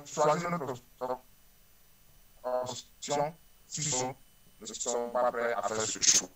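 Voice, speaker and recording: A man's voice: mid-range voice, slow pace, heavy background noise. Earnest Beninese man giving political interview answers. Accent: Beninese French. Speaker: ruling-party politician interviewed by phone. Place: telephone call.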